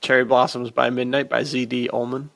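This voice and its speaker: A man's voice: medium pitch, level tone, fairly quick, vocal fry, fairly bright tone. A man co-hosting a podcast, chatting casually about books with laid-back enthusiasm and plenty of "dude". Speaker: podcast co-host. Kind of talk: casual book chat.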